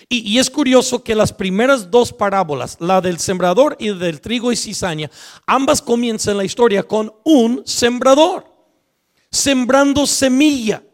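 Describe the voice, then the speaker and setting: A man with a Latin American Spanish accent preaching as a guest pastor, emphatic and repetitive, stretching out key words. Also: sits high. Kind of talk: animated expository sermon with rhetorical questions and repetition.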